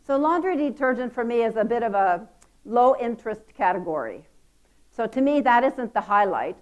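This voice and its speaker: A woman with a loud voice giving a lively, chatty conference talk. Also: on the high side, moderate pace. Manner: lively, chatty, self-deprecating. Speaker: conference speaker. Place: conference hall.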